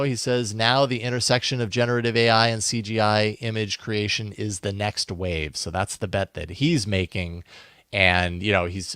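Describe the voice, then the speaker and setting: Low-voiced man co-hosting tech talk. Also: fairly bright tone, no room sound, clean studio sound. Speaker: podcast co-host presenting news. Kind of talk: casual tech news discussion.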